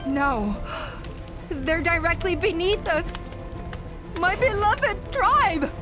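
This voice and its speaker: A woman's voice: very high voice, expressive, slow pace, full character voice. A woman playing a proud, desperate young zealot devoted to her tribe in a video game, speaking in a haughty, dramatic, formal way. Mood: dismayed. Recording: music behind.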